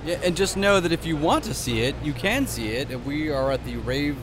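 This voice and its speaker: A man with a slightly higher voice hosts a loose podcast from a trade show booth, making jokes at his own expense. The noise of the busy hall is clearly heard behind him. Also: lively intonation, normal speed. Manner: joking, self-deprecating banter. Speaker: podcast host. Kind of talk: casual podcast chat.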